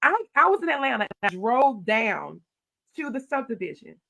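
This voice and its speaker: A middle-aged woman talks in a loud voice with plenty of gravel in it, pitched a little high. She tells her stories in an animated way and acts out her own replies as she goes. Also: moderate pace. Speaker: county commissioner. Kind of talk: casual interview talk.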